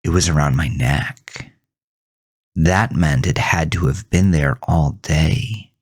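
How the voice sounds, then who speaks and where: A man playing a grieving, haunted farmer who narrates a horror audio drama in a brooding, deliberate, theatrical way. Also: very deep voice, no echo, studio quality, full character voice. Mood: reflective, uneasy. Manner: brooding, deliberate, theatrical, dropping at times into breathy near-whisper. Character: grieving, haunted farmer telling his supernatural ordeal.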